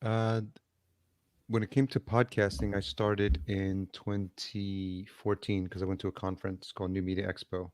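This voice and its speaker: A man, raised near New City and born in El Salvador, talking casually as a guest over a video call, measured and hesitant with many ums. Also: sits low, level tone, a little mellow, no room sound. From El Salvador, raised in Yonkers, New York. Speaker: podcast producer, guest. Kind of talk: casual interview chat. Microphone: remote video call.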